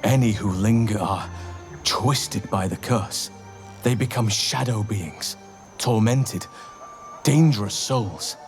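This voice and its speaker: An actor playing a grave, earnest elf druid warrior in a video game, speaking in a measured, solemn way. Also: male voice, on the low side, faint music behind. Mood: grave, warning. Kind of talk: video game dialogue acting.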